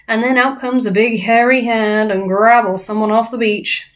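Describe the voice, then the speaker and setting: BFG, a woman doing a gentle giant. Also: on the high side, steady tone, normal speed, lightly acted. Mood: confiding. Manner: jumbled words, playful mangled grammar. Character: big friendly male giant, kindly, muddled grammar.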